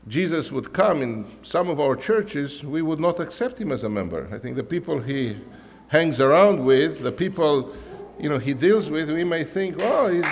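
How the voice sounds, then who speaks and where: A man's voice: a little high, in a large hall. A man whose first language is Romanian, a pastor preaching a conversational sermon in English, full of "you know" and restarts.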